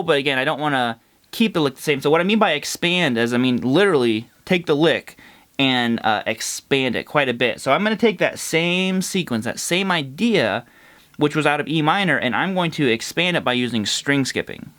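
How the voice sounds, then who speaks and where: A man's voice: a little high, lively intonation, brisk, fairly bright tone. Congested man teaching guitar licks. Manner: congested, nasal; explains while playing, counts fret numbers. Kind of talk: casual guitar lesson.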